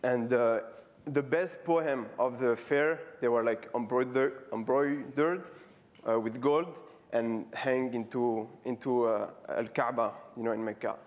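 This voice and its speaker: A man in his thirties with a French accent, giving a casual conference talk on stage, halting often with fillers and restarts. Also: medium pitch. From France. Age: 30s. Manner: casual, friendly, repeating words. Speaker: street artist giving a talk. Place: stage before a live audience.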